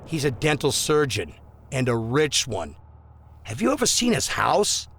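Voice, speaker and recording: A man's voice: medium pitch, very animated, a little slow, a bit bright, slight background noise. A man playing a corrupt, bullying policeman in an audio drama, mock-friendly and insinuating as he leans on people. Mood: threatening, insinuating. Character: corrupt small-town policeman, smooth, bullying blackmailer.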